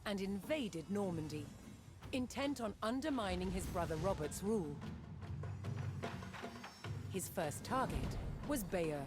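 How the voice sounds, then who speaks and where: A woman reading scripted historical documentary narration in a measured, dramatic storytelling manner. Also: mid-range voice, animated. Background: loud cinematic background music.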